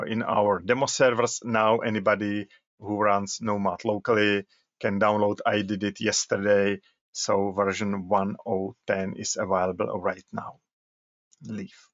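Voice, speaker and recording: Male presenter, slow and halting, dry dull webinar audio. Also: on the low side, a bit bright, no room sound. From Czech Republic. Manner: halting, repeats words while demonstrating. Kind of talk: software demo walkthrough.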